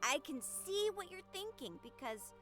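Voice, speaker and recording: Audio drama role: a playful, blunt small child, very high and bright, childlike and giggly. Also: female voice, sing-song, moderate pace, soft background music, exaggerated voice. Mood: playful, teasing. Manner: childlike, giggly, simple wording. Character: small playful child in an inner world, blunt, curious, skittish.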